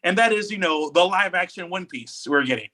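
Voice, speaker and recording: A man's voice: high-pitched, animated, a little fast, sharp tone. A man co-hosting a podcast, talking casually and giving opinions with emphatic, repeated phrasing. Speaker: podcast co-host.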